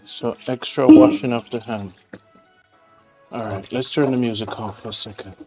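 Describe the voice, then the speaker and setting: A man's voice: medium pitch, normal speed, music in the background, rough recording. A man with a Jamaican accent, from Jamaica, hosting a casual cooking demonstration in his home kitchen, chatting and rambling with homely asides as he works. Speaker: cooking show host.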